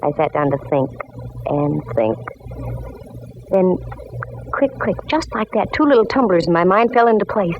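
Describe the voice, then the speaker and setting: An actress playing a wry, breezy female private detective in 1940s radio style, with brisk, slangy wisecracks. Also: fairly low pitch, very animated. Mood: wry narrating. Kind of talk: 1940s radio acting, first-person detective narration. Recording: muffled, noisy old radio recording.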